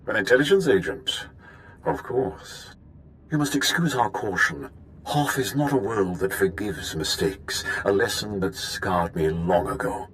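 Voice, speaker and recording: An actor playing a ruthless veteran Imperial admiral in a video game, speaking with measured, formal and menacing courtesy. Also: male voice, on the low side, animated, a bit bright, a little hiss or hum. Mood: coolly courteous. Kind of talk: dramatic video game voice acting.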